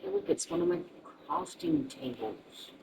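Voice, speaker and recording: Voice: medium pitch, huge pitch swings, measured pace, gravelly, darkish tone, distant mic, slight background noise, low-quality recording. A game streamer chatting casually and thinking aloud in a rambling, sweary way.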